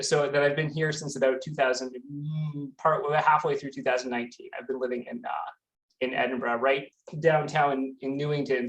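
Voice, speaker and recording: A man's voice: medium pitch, poor audio. A Canadian man giving a casual online talk as a guest scientist, often restarting and repeating words. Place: home near a busy street. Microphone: computer mic on a video call.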